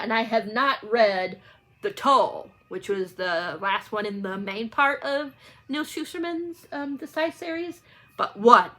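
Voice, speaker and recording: A woman's voice: medium pitch, fairly bright tone. A woman talking casually to viewers in a rambling, informal book vlog, often getting sidetracked. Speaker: book channel vlogger.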